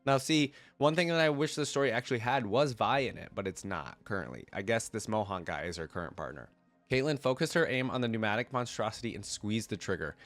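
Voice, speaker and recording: A man's voice: lowish voice, expressive, a little fast, a bit bright. A man with a congested, cold-affected voice, reading a story aloud and breaking off into rambling, casual commentary as a reaction video host. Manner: rambling, thinking aloud in asides.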